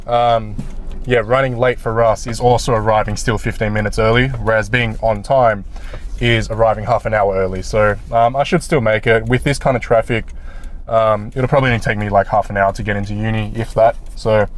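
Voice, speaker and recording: A loud-voiced young man chatting casually to camera from his car, rambling with lots of ums and restarts. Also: fairly low pitch, steady tone, moderate pace. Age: young adult. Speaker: sports video vlogger. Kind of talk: casual vlog chat while driving. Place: inside a car in traffic. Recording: car recording with faint road noise. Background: faint traffic noise.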